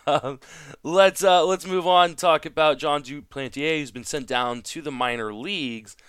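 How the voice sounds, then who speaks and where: Clear-voiced man in casual sports talk. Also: fairly high pitch, moderate pace, a bit bright, no room sound, clean studio sound. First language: French. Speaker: podcast host. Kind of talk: casual sports talk, fantasy baseball discussion.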